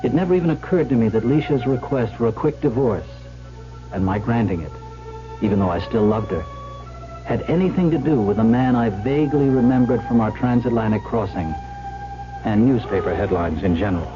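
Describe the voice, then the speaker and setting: A rueful man looking back on his life played by a male actor in an old radio play. His voice is mid-pitched and measured, literate in its phrasing. The sound is dull, with music in the recording. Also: lively intonation, close to an everyday voice. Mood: rueful, reflective. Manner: measured, literate, reflective storytelling. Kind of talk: 1970s radio drama, first-person narration.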